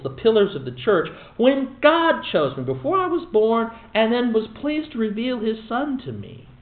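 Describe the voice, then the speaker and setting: A man teaching a Bible study in a lively, emphatic way with wry asides. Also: high voice. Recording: echoey room over a tinny phone-like line.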